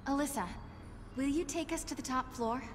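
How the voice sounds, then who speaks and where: An actress playing an earnest, inquisitive young heroine in a video game. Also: female voice, sits high, normal speed, big-room sound, a little hiss or hum, close to an everyday voice. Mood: resolved. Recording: game music behind the voice.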